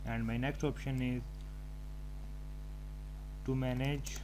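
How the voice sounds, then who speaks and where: A man presenting an unscripted step-by-step tutorial, haltingly thinking aloud as he types. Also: medium pitch, flat delivery. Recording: home recording with clear background noise.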